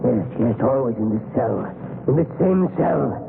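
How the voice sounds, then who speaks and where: An actor playing a tormented, pleading captive man in a 1930s radio drama, agitated and halting. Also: mid-range voice, animated, vocal fry. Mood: bitter, anguished. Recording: scratchy, noisy, narrowband 1930s radio recording.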